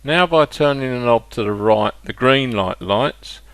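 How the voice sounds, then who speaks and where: A man narrating a hobby product demonstration step by step, in a plain-spoken, deliberate way with hesitant pauses. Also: mid-range voice, no room sound. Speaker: hobbyist presenter demonstrating a model train radio control.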